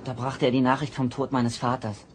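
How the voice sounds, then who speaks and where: A man's voice: medium pitch, level tone, fairly quick, background noise, lightly acted. A young male actor playing a polite, eager teenage boy in a German audio drama. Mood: earnest, polite.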